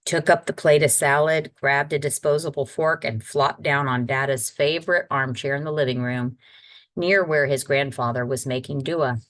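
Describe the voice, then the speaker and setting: A woman's voice: very deep voice, steady tone, normal speed. A woman reading a children's novel aloud as a guest storyteller, in scripted narration. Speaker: guest reader.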